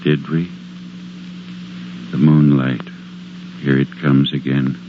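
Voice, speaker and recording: An actor playing a gruff, domineering small-town doctor in grave, emphatic 1940s radio drama style. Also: male voice, extremely low pitch, little pitch movement, slow. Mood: hollow horror. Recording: muffled, noisy old radio recording.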